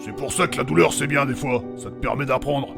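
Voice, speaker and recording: A man's voice: medium pitch, fairly even intonation, quick pace, creaky. A man playing a foul-mouthed, hot-tempered warrior in a French fantasy comedy audio drama, with crude, blustering delivery. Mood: gruff, explaining. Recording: dramatic music behind the voice.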